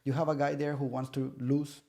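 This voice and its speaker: Gravelly guy, dark mellow tone, rambling fight stories, keeps restarting sentences. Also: mid-range voice, fairly quick. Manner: animated storytelling, acts out quoted voices; loose, repetitive phrasing with many restarts. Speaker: pro MMA fighter guest. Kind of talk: casual podcast chat.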